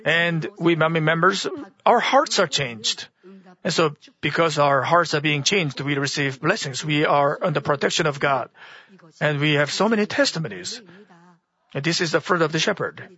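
A hoarse man preaching to a congregation, halting and restarting often. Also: on the high side, bright, forceful tone, dull audio. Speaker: church speaker. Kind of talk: sermon-style teaching.

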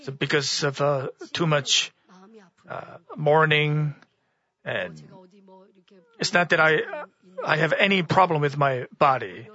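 A hoarse man preaching to a congregation, halting and restarting often. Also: fairly high pitch, animated, bright, forceful tone, dull audio. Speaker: church speaker. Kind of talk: sermon-style teaching.

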